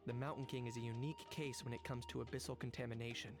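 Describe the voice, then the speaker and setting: A man's voice: medium pitch, flat delivery, fast talker, music in the background, lightly acted. A man voicing a cool, blunt young warrior in a video game, speaking in a direct, unruffled, matter-of-fact way. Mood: grave, explanatory. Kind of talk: video game character dialogue.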